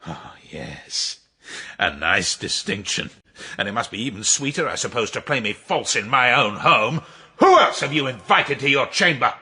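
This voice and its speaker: An actor playing a cold, sardonic, jealous nobleman in a period radio drama, sneering and theatrical, with a raspy voice. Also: mid-range voice, big pitch swings, moderate pace, sharp tone, heavily acted. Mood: accusing, furious. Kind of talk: period radio drama acting, narration and dialogue.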